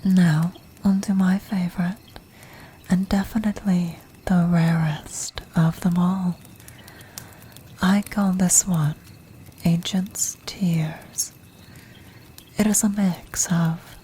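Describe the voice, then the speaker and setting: A woman voicing an ancient, commanding vampire matriarch in a whispered ASMR fantasy roleplay, refined, breathy and formal. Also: lowish voice, warm tone, a little hiss or hum. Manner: formal, measured, aristocratic.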